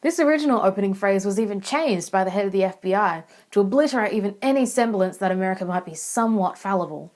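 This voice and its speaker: A woman's voice: mid-range voice, animated, fast talker, hardly any pauses, darkish tone. A young woman presenting a scripted analysis as a student narrator. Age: young adult. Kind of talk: scripted video essay narration.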